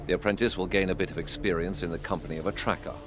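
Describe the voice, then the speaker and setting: An actor playing a grave, dutiful dragon hunter in a fantasy game, formal and measured. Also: male voice, low-pitched, steady tone, noisy background, natural voice. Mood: firm, resolved. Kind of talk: dramatic fantasy game acting.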